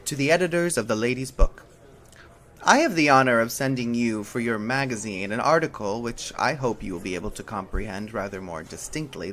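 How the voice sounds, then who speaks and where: A man playing a courteous, formal 19th-century gentleman in a measured, precise dramatic reading of a letter. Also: on the low side.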